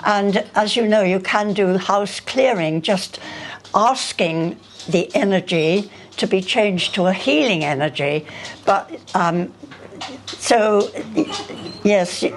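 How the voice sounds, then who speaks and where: A woman's voice: mid-range voice, slow, a little hiss or hum. An older woman speaking unscripted at a live talk, hesitant and often searching for her words. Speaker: speaker at a public conversation. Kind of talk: unscripted talk answering questions.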